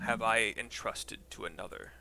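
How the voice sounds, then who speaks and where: A man's voice: fairly low pitch, normal speed, very noisy, natural voice. A man voicing an ancient vengeful dragon, proud and wrathful, declaiming game dialogue in grandiose archaic speech. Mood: scornful, defiant.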